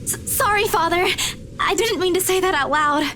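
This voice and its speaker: A woman's voice: extremely high pitch, normal speed, sharp tone, slight background noise. An actress playing a polite, easily spooked young woman in a visual novel, hesitant and apologetic.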